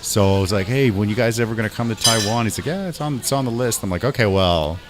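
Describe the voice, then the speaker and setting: A man hosting a podcast, chatting casually and excitedly with bro-ish slang and the odd swear word. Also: fairly low pitch, quick pace, noisy background. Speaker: podcast host.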